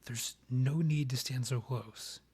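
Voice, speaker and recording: A man playing a proud, guarded vampire king in a romantic ASMR roleplay, with a breathy voice often near a whisper and a formal, courtly, halting manner. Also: mid-range voice, a little mellow. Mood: stiff, defensive.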